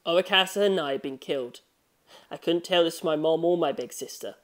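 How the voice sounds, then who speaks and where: A narrator reading a scripted story in an expressive, measured storytelling manner. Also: medium pitch. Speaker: audiobook narrator.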